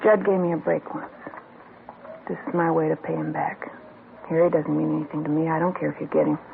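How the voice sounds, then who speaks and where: A woman's voice: sits low, little pitch movement, brisk, vocal fry, a little hiss or hum. An actress playing a guarded female informant in an old radio drama, blunt and clipped. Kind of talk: 1950s radio drama acting. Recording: tinny lo-fi old radio broadcast.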